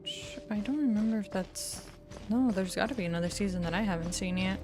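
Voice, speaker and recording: A woman's voice: medium pitch, a little fast. A woman doing casual live gaming commentary, playful and rambling, often interrupting herself. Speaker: game streamer. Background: game music.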